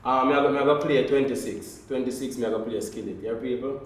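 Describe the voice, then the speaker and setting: A Jamaican man chatting casually in Patois as a performer on a group podcast, telling things in an animated, repetitive way. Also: mid-range voice, fast, over a noisy crowd. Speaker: pantomime performer, podcast guest. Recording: echoey room, faint noise.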